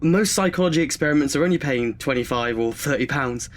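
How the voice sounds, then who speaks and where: A man's voice: mid-range voice, brisk, lightly acted. An actor playing a nervous, chatty twenty-year-old male student in an audio drama, hesitant and self-deprecating. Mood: polite, eager.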